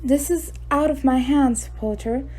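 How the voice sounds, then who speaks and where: A woman playing a stern, brisk older female professor in an audio drama, speaking in a curt, formal way. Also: sits high, darkish tone, soft background music. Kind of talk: audio drama character acting.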